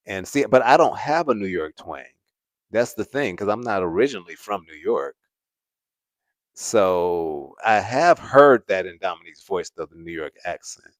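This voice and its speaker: Deep-voiced man, casual livestream chat. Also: no room sound. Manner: laughs often, repeats "at the end of the day". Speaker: host.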